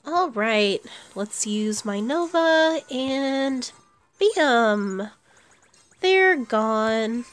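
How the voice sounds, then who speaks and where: A woman's voice: fairly high pitch, animated, drawn-out words. A woman giving casual commentary on her own gameplay, thinking aloud as she plays. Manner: thinks aloud while playing. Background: video game music.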